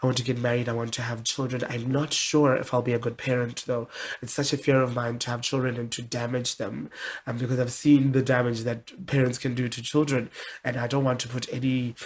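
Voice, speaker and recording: A young woman with a deep voice, speaking candidly and expressively as a guest in a casual interview. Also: a little fast. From South Africa. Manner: candid, expressive, with many restarts.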